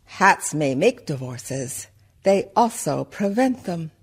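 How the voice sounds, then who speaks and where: An actress plays a shrewd milliner with a scandalous reputation in a radio play. Her voice sits a little low and moves very slowly, with big swings of pitch as she makes her pointed case. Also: big pitch swings, slightly rough, exaggerated voice. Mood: knowing, wry. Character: shrewd, outspoken small-town milliner, much gossiped about. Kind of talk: theatrical monologue for community radio play.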